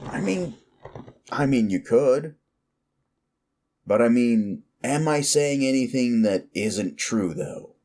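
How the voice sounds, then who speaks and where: A man performs a smug, bullying male werewolf boss for an audio roleplay. He drawls slowly and addresses the listener directly with patronizing scorn. His mid-pitched voice swings widely as he needles and swears. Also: expressive, a little mellow. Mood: scornful, bullying. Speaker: office boss.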